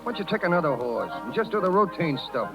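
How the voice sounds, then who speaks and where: An actor playing a blunt, worried circus crew boss with rough working-class grammar, in 1930s radio style. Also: male voice, on the high side, sing-song, quick pace. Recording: muffled 1930s radio recording with music.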